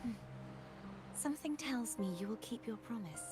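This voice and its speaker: A woman's voice: fairly high pitch, animated, close to an everyday voice. A woman playing a mysterious, composed young maiden in a video game, with poised and formal phrasing. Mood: pensive. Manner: poised, formal, measured wording. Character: mysterious, composed young maiden who lost her power. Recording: game music behind the voice.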